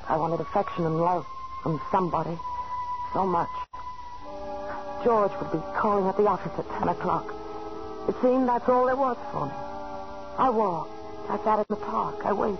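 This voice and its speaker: An actress playing a lonely, desperate unfaithful wife in a halting, pleading way, in a 1940s radio thriller. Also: female voice, medium pitch, over music, close to an everyday voice. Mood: bleak, reflective. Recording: muffled, noisy 1940s radio recording.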